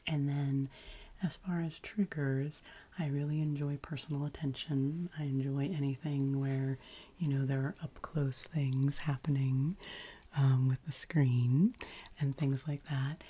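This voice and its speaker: A woman with a deep, husky voice chats gently as an ASMR host, soft-spoken and often whispering. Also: level tone. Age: adult, past college. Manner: slow, gentle, soothing delivery.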